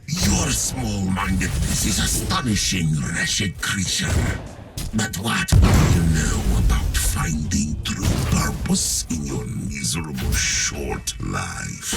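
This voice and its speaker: An actor playing an arrogant, scornful alien raider leader in a video game, theatrical and disdainful. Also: male voice, deep voice, wildly swooping pitch, slow, gravelly, exaggerated voice. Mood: haughty, scornful. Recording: loud music under the voice.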